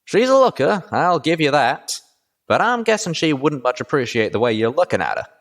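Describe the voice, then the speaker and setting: A man's voice: fairly high pitch, very animated, moderate pace, a bit bright, pitched above the performer's own voice. A man voicing a teasing, easygoing male pony cowboy in an audiobook, with a wry, folksy manner. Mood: teasing, impatient.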